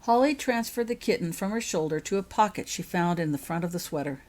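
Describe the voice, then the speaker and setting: A woman reading her own fiction aloud as an expressive storyteller. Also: fairly low pitch, brisk. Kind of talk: audiobook-style narration of fan fiction.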